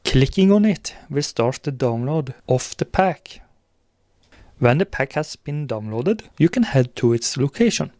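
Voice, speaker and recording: A man presenting a step-by-step software tutorial in a gravelly voice. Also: mid-range voice, sing-song, measured pace, dry recording, clean studio sound.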